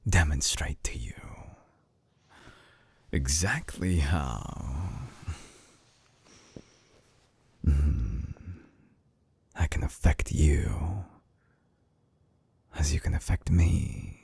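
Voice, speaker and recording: A man playing an obsessive, coolly clinical mad scientist in an intimate binaural audio roleplay, speaking slowly in a hushed, breathy near-whisper with long pauses. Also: a bass voice, expressive, no echo, heavily acted. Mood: teasing, commanding. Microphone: close binaural microphone.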